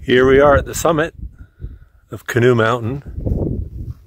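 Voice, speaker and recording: Slow-talking man narrating outdoors. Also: medium pitch. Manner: relaxed, unhurried commentary with pauses. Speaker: vlogger. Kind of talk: casual travel narration. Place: in a vehicle, then outdoors on a mountain summit. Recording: outdoor camera audio with noise.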